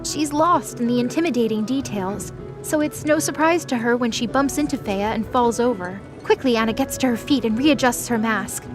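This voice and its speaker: A woman's voice: a little high, normal speed. A woman reading scripted story narration for a fantasy audio drama. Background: music.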